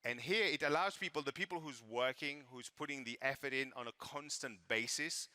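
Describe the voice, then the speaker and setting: A man with a French accent speaks from a stage with a crisp, bright tone. He leans on words and repeats them for emphasis, playing with the audience between points. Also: mid-range voice, brisk. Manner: emphatic, repeats words for stress, playful with the crowd. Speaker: co-presenter at a business event. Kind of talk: live stage presentation.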